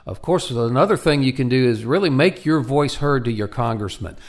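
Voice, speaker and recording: A man with a medium-pitched voice acts as radio co-host. He talks in a casual, promotional way, plain-spoken and conversational, with folksy phrasing. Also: animated, brisk.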